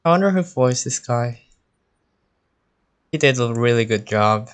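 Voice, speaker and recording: A woman streaming a game, with a low voice and an unhurried pace. She reacts casually and reads game lines aloud, her pitch moving in lively swings.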